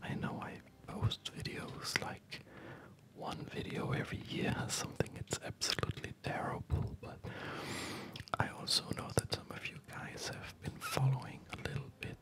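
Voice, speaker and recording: A man in his thirties whispers softly and breathily in an ASMR gaming commentary, speaking gently with hesitant pauses. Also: low-quality recording. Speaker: ASMR video creator. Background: music.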